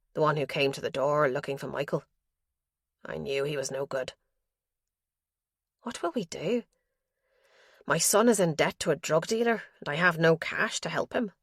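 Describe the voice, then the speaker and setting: A woman's voice: low-pitched, no room sound, clean studio sound. Woman reading as a worried old diarist father. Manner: anxious, confessional, guilt-ridden. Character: worried elderly father writing in his diary.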